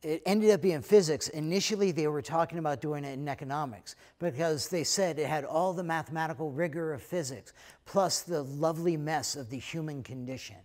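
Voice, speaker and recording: A middle-aged American man, head of an economics school, talking casually to prospective students in a relaxed way, with many fillers. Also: fairly high pitch, brisk. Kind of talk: recorded talk to prospective students. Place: lecture room, then plain backdrop indoors.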